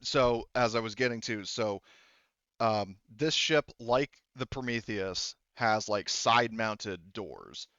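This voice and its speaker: A man speaking as game master of a casual tabletop roleplaying game, in a halting, informal, sweary way. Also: lowish voice, animated, pushed and bright, no echo, highs cut off.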